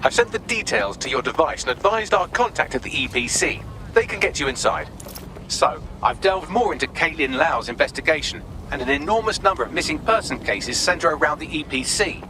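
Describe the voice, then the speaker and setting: Quick, bright, sardonic British AI assistant. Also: male voice, fairly high pitch, lively intonation, slight background noise, rough recording. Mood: informative, wry. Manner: sardonic, precise, wordy. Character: dry, witty male AI assistant. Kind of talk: game voice acting, mission briefing.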